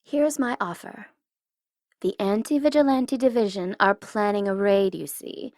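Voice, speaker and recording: Female supervillain , acted by a woman, ASMR roleplay. Teasing menace with a secret soft spot, deliberate slow delivery, close dry sound. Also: mid-range voice, dry recording, close to an everyday voice. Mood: sly, persuasive. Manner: taunting, coy, commanding, with drawn-out deliberate phrasing.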